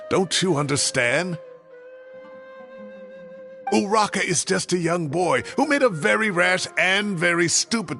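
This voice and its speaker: Huffy old professor, very lively up-and-down delivery, gravelly and sharp, game audio w/ faint music. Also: male voice, on the high side, exaggerated voice. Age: older. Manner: grumbling, theatrical and huffy. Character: fussy retired male professor, older, indignant. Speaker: retired professor.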